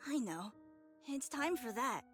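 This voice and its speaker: High-pitched brave little child. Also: female voice, expressive, a little slow. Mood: eager, brave. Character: brave, obedient young child, eager to please. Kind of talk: video game voice acting. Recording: game music under the voice. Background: game music.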